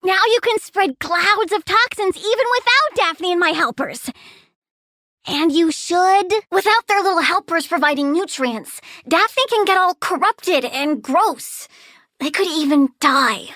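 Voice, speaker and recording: A woman's voice: very high voice, animated, unhurried, no echo, studio quality, heavily acted. A woman voicing a childlike plant-villain girl in a video game, petulant and blunt, with cutesy menace and a love of plants. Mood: matter-of-fact menace. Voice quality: bright, girlish.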